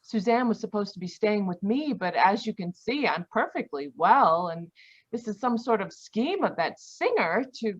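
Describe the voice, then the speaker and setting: An actress plays an indignant young frontier woman in an old radio play. Her mid-pitched voice is affronted, and the video-call sound is dull and lo-fi. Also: big pitch swings, moderate pace, breathy edge. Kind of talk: old-time radio drama acting. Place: home, live online video performance.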